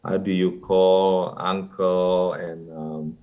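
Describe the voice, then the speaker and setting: A man from North Sumatra, a podcast guest, who answers slowly with many pauses and fillers. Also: deep voice, little pitch movement, narrow, tinny audio. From North Sumatra, Indonesia. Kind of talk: casual interview answers.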